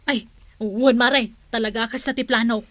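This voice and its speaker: Casting: actress as petty, nagging Filipina, very high bright voice, radio comedy drama. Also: female voice, steady tone, normal speed, dry recording, natural voice. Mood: nagging, peeved. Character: vain, petty, demanding woman obsessed with likes.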